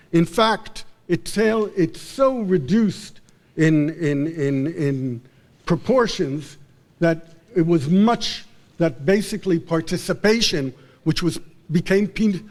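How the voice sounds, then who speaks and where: A male professor giving an unscripted academic lecture in a halting way, often repeating and restarting his phrases. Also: a little high.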